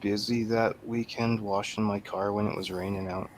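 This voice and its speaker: Low-voiced man over background noise. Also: little pitch movement, normal speed. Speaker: guest reviewer on a radio show. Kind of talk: casual chat, movie banter. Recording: clear background noise, room ambience.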